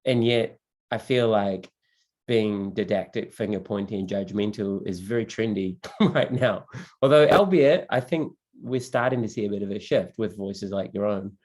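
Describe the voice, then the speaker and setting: A man hosts a podcast conversation in a lowish voice. He thinks aloud and builds long, winding questions before handing over to his guest. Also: normal speed, a little mellow. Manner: reflective, thoughtful, asks long winding questions. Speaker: podcast host. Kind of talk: conversational interview.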